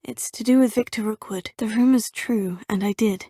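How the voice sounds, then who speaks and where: A well-spoken young British witch at school, polite and proper. Her voice sits a little high and carries a soft breathy edge, recorded dry and close in a studio. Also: normal speed, no room sound. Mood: earnest. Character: polite young female student witch, composed. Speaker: player character, student. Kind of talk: game dialogue voice clips. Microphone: close studio mic, dry.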